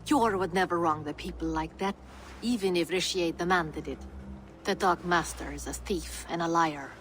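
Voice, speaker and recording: A woman playing a capable, fiercely loyal Norse woman in a video game, formal, dry and measured in her speech. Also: fairly low pitch, fairly bright tone, a little hiss or hum, natural voice. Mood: resolute. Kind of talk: dramatic video game dialogue.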